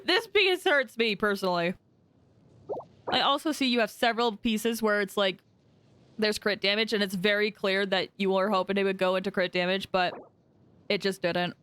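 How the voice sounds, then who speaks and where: A woman chatting casually on a game stream, giving animated reactions to what she sees. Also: mid-range voice. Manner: chatty, animated reactions, breaks into singing and laughter. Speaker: livestreamer. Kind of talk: casual live gaming commentary with chat. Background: game music.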